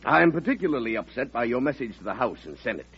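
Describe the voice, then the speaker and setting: An actor playing a formal, insistent senator who presses his case, in old-time radio drama style with a husky voice. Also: mid-range voice, sing-song, fast, heavily acted. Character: insistent, formal, persuasive male opposition senator. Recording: dull vintage radio recording.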